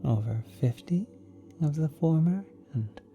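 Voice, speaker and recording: A man voicing a kind, doting celestial being in a soft, breathy, often whispered ASMR roleplay, tender and halting. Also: a little high, expressive, highs cut off, soft background music, pitched above the performer's own voice. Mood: patient, explaining. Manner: tender, halting, gently coddling. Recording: cosmic ambient sound effects behind.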